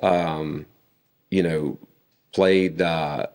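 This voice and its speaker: A man in his forties, a podcast guest, telling family stories in a relaxed conversational way with frequent fillers. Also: extremely low pitch, very slow. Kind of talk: casual conversation.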